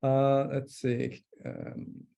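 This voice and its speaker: Slow, gravelly man demoing software. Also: medium pitch, huge pitch swings. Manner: thinking aloud while typing, many fillers and restarts. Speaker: presenter demonstrating software. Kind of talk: live webinar demo.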